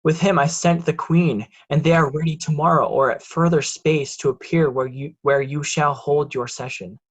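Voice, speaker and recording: A man's voice: fairly high pitch, fairly even intonation, normal speed, husky. Proud, defiant nobleman Edmund played by a man. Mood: smooth, formal. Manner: formal, declamatory. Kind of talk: Shakespearean reader's theatre.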